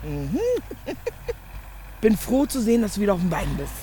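A woman's voice: medium pitch, big pitch swings, normal speed, mellow, a little hiss or hum, natural voice. An actress playing a breezy village woman in a naturalistic German radio drama, chirpy and colloquial. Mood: cheerful, explaining.

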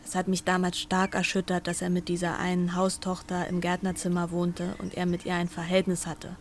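An older woman, once a housemaid, gives her testimony in an archival interview. Her voice is low and flat in pitch, and she tells it plainly and matter-of-factly, with faint background noise. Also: a little fast. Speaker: former housemaid witness.

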